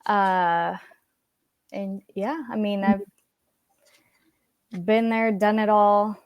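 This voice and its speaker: Woman, 30s, from Venezuela, slow, relaxed podcast guest, dry audio. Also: medium pitch, smooth, dry recording, dull audio. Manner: joking, relaxed. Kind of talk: casual self-introduction.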